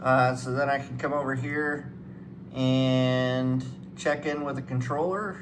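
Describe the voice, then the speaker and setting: A man's voice: mid-range voice, steady tone, takes it slowly. A man giving casual hands-on commentary as a flight simulator guide, rambling and thinking aloud. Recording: clearly noisy room background.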